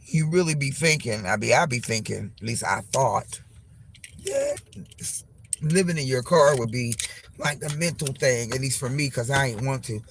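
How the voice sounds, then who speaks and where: A man vlogging casually from his car, hoarse and losing his voice from a chest cold, talking in a rambling, profane, frank way. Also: mid-range voice, very animated, moderate pace, fairly bright tone, a little hiss or hum. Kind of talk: casual vlog monologue. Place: parked car.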